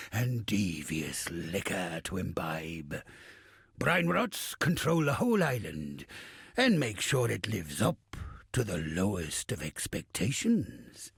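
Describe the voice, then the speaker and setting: Casting: bawdy, roguish old pirate captain in a game; heavy rasp with gravel, stage pirate accent, salty swagger, close dry sound. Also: male voice, medium pitch, no room sound, full character voice. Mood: bawdy relish. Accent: stage pirate English. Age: older. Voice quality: raspy, gravelly. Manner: salty, theatrical, swaggering delivery with archaic slang. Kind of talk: video game character acting.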